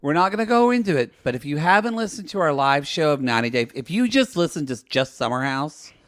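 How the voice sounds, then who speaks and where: A man co-hosting a podcast in casual, crude, joking chat, his voice husky from talking a lot. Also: a little high, big pitch swings, fast, no echo, clean studio sound. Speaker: podcast co-host.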